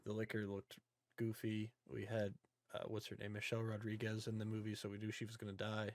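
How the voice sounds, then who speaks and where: A man's voice: on the low side, completely flat delivery, brisk, no echo. A man hosting a podcast, talking casually about films in an informal, joking recap style. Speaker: podcast host. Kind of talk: casual movie discussion.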